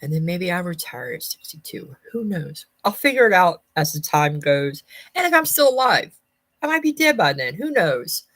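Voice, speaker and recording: A woman's voice: on the low side, sing-song, moderate pace, fairly bright tone, no echo. A woman in her fifties chatting casually to viewers with a life update, rambling in folksy wording and often repeating or correcting herself. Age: 50s. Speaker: personal vlogger giving a life update.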